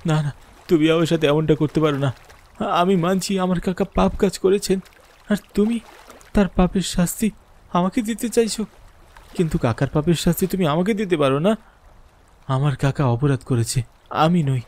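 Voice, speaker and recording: A man's voice: fairly high pitch, normal speed, pauses often, a little mellow, lightly acted. A man playing a frightened schoolteacher who tells his ghost story in the first person, with dramatic delivery, for a horror cartoon. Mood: frightened pleading. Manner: dramatic, fearful, pleading when in dialogue. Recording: music bed under the voice. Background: music.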